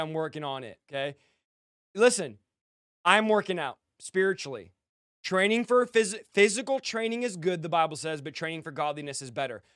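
American guy preaching hard, high clear voice, punchy repeated commands, dry close sound. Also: fairly bright tone, no echo. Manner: emphatic, repetitive, punchy short commands. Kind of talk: fiery exhortation sermon.

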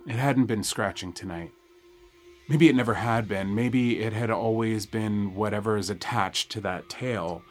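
A man narrating a first-person horror audio drama in a wry, suspenseful way with dramatic pauses. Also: on the low side, a little mellow, soft background music. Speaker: storyteller narrating a nightmare.

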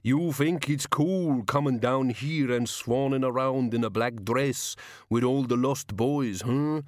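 A man voicing a menacing, hairy male bogeyman with a slow, taunting drawl. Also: mid-range voice, a little mellow, dry recording, studio quality, lightly acted. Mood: leering. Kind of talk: audiobook character voice.

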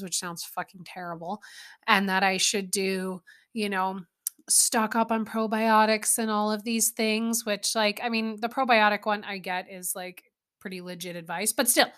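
A chatty woman in her thirties hosts her own podcast, rambling freely with exasperated asides. Her voice is bright and forward, with a mid-range pitch for a woman. She sounds relaxed and conversational throughout. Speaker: podcast host. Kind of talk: casual solo podcast chat.

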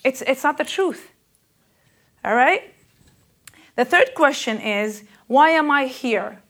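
Earnest woman preacher, rather high voice. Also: lively intonation, slow. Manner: intense and earnest, rising and louder on key questions; hesitant fillers, searching for words. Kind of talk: spoken sermon.

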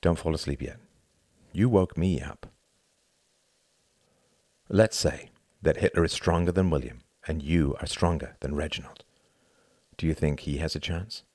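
A man's voice: rumbling low, measured pace, long pauses, a little mellow, no room sound, studio quality, full character voice. A man voicing a sardonic, articulate male friend in an audiobook, reasoning and lecturing with emphatic exasperation. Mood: calm, wry.

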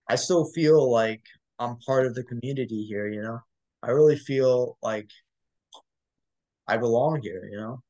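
A young college man from Michigan co-hosting a casual student talk show. His voice sits at a middle pitch, and he rambles, dotting his sentences with "like" and "um.". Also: darkish tone. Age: young adult. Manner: rambling, full of "like" and "um", laughs easily. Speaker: co-host. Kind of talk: casual chat.

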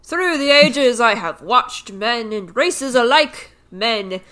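A woman voicing an ancient, lonely talking tree, who speaks slowly, loudly and with grand formality. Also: fairly high pitch, expressive, exaggerated voice. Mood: grandiose. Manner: slow, loud, grandly formal.

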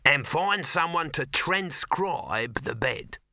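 A man's voice: on the high side, expressive, takes it slowly, vocal fry, pushed and bright, no echo, clean studio sound, pitched above the performer's own voice, heavily acted. A man voicing a bossy male film producer in an audiobook, haranguing people with impatient, emphatic commands.

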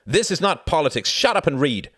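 A man's voice: mid-range voice, expressive, brisk, dry recording, clean studio sound, pitched above the performer's own voice, natural voice. A man voicing a brutal, bullying Nazi officer in an audiobook, barking harshly and impatiently. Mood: furious, barking. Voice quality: harsh, grating. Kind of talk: audiobook character acting.

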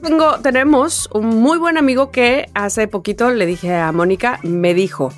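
A woman podcast co-host talks in a fairly high voice. She keeps things casual and jokey, as in a chat between friends. There is soft music behind her. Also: normal speed.

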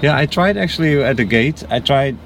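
A man's voice: mid-range voice, lively intonation, fairly quick, vocal fry, a bit bright. A man driving a car, chatting in a friendly way and asking his passenger lots of questions. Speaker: rideshare driver and host. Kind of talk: casual chat. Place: inside a car. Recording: car recording with road noise. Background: car and air conditioning noise.

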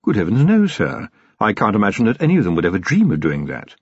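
A man voicing a polite, composed male butler who speaks in a measured, deferential way. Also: lowish voice, wildly swooping pitch, a little mellow, husky, no room sound, exaggerated voice. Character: calm, proper male butler. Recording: dull, dry, lo-fi recording.

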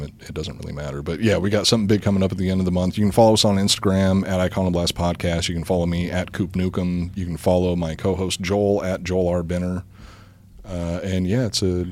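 A man with a deep voice chatting casually as a podcast guest, dropping wry joking asides. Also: fairly even intonation, brisk, darkish tone. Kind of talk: casual comedic podcast chat.

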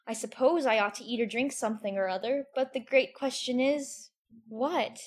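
A man's voice: very high-pitched, moderate pace, pitched above the performer's own voice. A man voicing a polite, anxious little girl in a storybook, with a shy and hesitant way of talking. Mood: wistful, puzzled. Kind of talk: storybook character voice.

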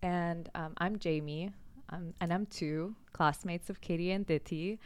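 A woman in her twenties from Lebanon chatting as a podcast guest, giggly and easygoing. Her voice sits slightly low and sounds smooth. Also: steady tone, a little slow. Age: 20s. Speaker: podcast guest, medical student. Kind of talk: casual interview chat.

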